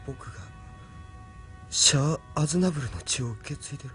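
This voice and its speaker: A man's voice: medium pitch, lively intonation, a little fast, a bit bright, soft background music, close to an everyday voice. A man voicing an earnest, doubting young man, a reluctant hero, in an audio drama. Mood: doubtful. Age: young adult. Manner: earnest, questioning. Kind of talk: Japanese audio drama acting.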